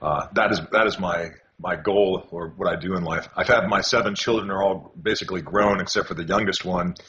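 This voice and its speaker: An older man from California, a podcast guest, talking casually and haltingly with many restarts. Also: low voice, fairly even intonation, sharp tone. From California, USA. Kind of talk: casual interview answers. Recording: dull lo-fi call audio with faint noise.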